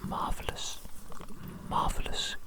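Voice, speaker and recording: A performer voicing an obsessive, doting evil doctor in a breathy ASMR whisper, musing and repeating phrases. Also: slow, natural voice. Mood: fascinated, pleased.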